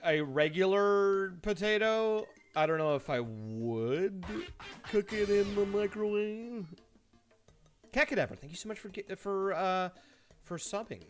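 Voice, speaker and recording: A man streaming live, chatting casually to viewers and telling stories in an animated way, acting out his reactions. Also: fairly high pitch. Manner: animated storytelling, mimics his own reactions; laughs easily while talking. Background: background music.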